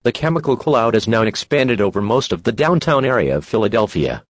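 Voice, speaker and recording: A man's voice: medium pitch, big pitch swings, brisk, no room sound. A synthetic-sounding male announcer reading a formal, scripted emergency alert.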